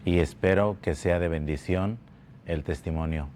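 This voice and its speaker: Very deep-voiced Mexican-born man testifying. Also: darkish tone, slight background noise. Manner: slow, halting, repeats words. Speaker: guest giving testimony. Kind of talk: church testimony interview. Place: church building.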